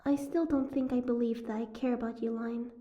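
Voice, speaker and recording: A woman acts out a young saltwater mermaid held captive, in an audio roleplay. Her voice sits fairly high with a soft, mellow tone. She is guarded and sardonic, with a prickly, teasing edge. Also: fairly even intonation, a little fast, muffled recording, natural voice. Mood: prickly, suspicious.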